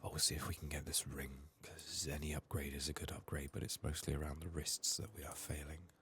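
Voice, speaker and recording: A man giving soft-spoken ASMR game commentary, murmuring haltingly and slipping into whispers, his voice strained from being sick. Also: very deep voice, little pitch movement, slightly rough. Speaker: ASMR gaming video host.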